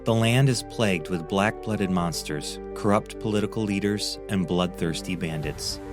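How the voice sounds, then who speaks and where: A grave narrator for a dark fantasy audio drama trailer, performed by a man. The voice is very deep and portentous, delivering dramatic scripted lines over prominent music. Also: moderate pace, close to an everyday voice. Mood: grave, ominous.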